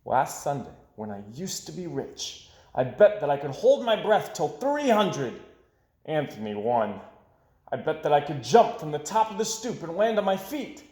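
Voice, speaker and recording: A man's voice: fairly high pitch, sing-song, normal speed, darkish tone. Man reading as an aggrieved young boy, echoey room. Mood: insistent. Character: young boy, dramatic, aggrieved, forever losing his money. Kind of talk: expressive children's storybook read-aloud.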